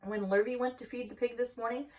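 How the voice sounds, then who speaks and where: An excited farmer husband, as a woman reader acts him out in a children's storybook. He is self-important and solemnly amazed, pressing each point with wonder, and sits a little far from the mic. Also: a little high, quick pace, a little hiss or hum, voice pushed up, close to an everyday voice. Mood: awestruck, excited. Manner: solemn, emphatic, marveling.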